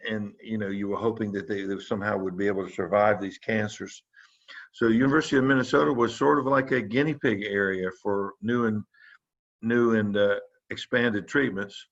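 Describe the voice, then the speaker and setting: An older man talks through an informal lecture for students as a physician. His voice sits fairly low and he wanders through his points with many fillers and restarts. The audio is dull and muffled, like a video call. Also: steady tone, normal speed, a bit bright. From South Carolina.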